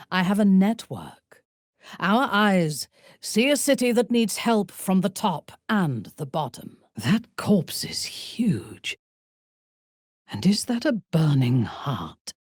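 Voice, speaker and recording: A woman playing a proud, ruthless, seductive aristocratic villain in a video game, speaking in an imperious, deliberate, softly menacing way with a husky, breathy voice. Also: lowish voice, no echo, clean studio sound, full character voice. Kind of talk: dramatic video game voice acting.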